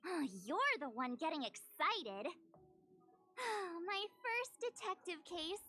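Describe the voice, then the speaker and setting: A woman's voice: extremely high pitch, animated, takes it slowly, sharp tone, slight background noise, full character voice. A woman voicing a bubbly, eager, self-confident teenage girl in an anime-style video game, with a perky, playful manner. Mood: excited, proud. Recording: game music behind the voice.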